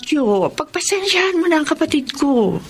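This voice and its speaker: A woman's voice: sits high, big pitch swings, normal speed, slight background noise. A woman playing a sensible elder sister in a radio drama, chiding and giving advice. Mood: counseling. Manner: chiding, advising. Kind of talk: Tagalog radio drama acting.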